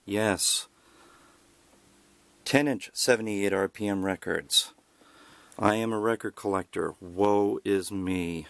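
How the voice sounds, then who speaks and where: A man's voice: lowish voice, fairly even intonation, takes it slowly, gravelly, pauses often, a bit bright, no room sound. A man casually narrating a home video tour as a collector, with wry, self-deprecating asides. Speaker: record collector presenting a collection. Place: indoors at home.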